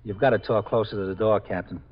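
An actor playing a steady, deferential, plain-spoken police sergeant in a 1950s radio drama. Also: male voice, on the low side, quick pace, close to an everyday voice. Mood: concerned. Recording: muffled 1950s radio recording.

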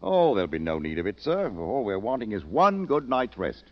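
A weary British colonel in an old radio play. He talks very fast in a low, clipped, deferential military way, on a dull recording with faint noise. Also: wildly swooping pitch. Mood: weary, dutiful. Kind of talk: 1940s-50s radio drama acting.

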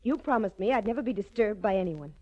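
An actress playing a genteel, anxious dress-shop madame in a 1940s radio drama, formal and refined. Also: female voice, mid-range voice, expressive, quick pace, darkish tone, close to an everyday voice. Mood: anxious, upset. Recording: muffled 1940s radio broadcast recording.

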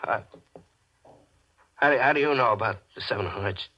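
An actor playing a grim, haunted gambler telling of his own doom in a husky voice, in 1940s radio drama style. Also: fairly low pitch, normal speed, sharp tone. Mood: shaken. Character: doomed male gambler, grim and haunted, narrating his end. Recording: dull old radio recording.

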